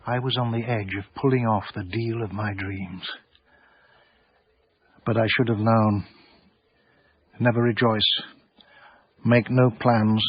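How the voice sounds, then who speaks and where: An actor playing a polite, rueful small-time art dealer in a radio drama, speaking in a careful, well-spoken way. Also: male voice, lowish voice, steady tone, takes it slowly, long pauses, slightly rough, no echo. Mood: rueful, narrating. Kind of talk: radio drama dialogue and narration. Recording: slightly dull radio broadcast sound.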